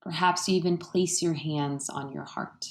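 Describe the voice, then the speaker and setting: A woman leading a guided meditation in a gentle, soothing, unhurried way, leaving pauses between phrases. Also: low-pitched, steady tone. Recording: roomy, echoey sound.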